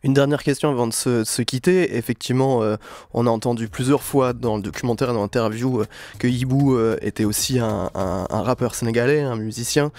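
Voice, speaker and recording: A man hosting a radio interview, framing his questions in a halting, unscripted way with repeated words. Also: medium pitch, a little mellow.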